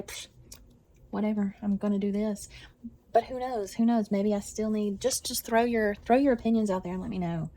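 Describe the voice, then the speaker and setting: A woman's voice: on the high side, warm tone, slight background noise. An older woman, chatting casually about her stitching with many restarts and repeats. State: congested. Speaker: embroidery vlogger.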